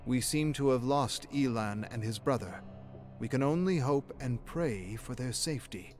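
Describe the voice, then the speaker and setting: An actor playing a grim radio broadcaster in a game's audio drama, reporting horrors in a grave, halting and foreboding way. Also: male voice, mid-range voice, a little mellow, soft background music, natural voice. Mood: grave, urgent.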